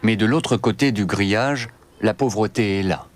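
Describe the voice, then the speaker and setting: A man's voice: on the low side, normal speed. A man reading scripted narration for a documentary.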